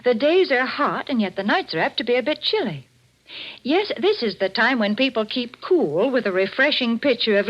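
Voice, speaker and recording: Animated, folksy woman radio pitchwoman. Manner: chatty, folksy, emphatic. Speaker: commercial announcer and hostess. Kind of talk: 1940s radio advertising pitch. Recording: muffled vintage radio recording.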